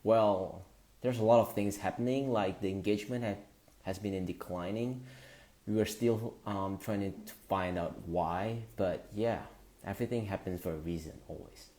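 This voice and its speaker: A man, hosting a casual live stream, greeting viewers and talking in halting bursts with lots of fillers. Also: on the low side, mellow. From Indonesia. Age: 20s.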